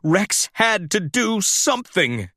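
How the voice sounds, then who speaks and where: A man narrating a scripted children's story in a dramatic, expressive, deliberate way. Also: high voice, no room sound, clean studio sound.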